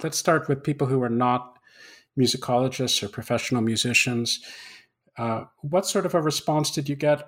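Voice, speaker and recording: A man hosting an interview, asking curious, polite questions with some halting restarts. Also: medium pitch. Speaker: interviewer host.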